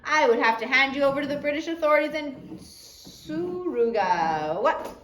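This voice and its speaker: A woman's voice: sits high, animated, a bit bright. Kindly sea captain read by a woman, echoey room. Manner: kind, measured. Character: kindly male sea captain, calm and protective. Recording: roomy echo with faint background noise.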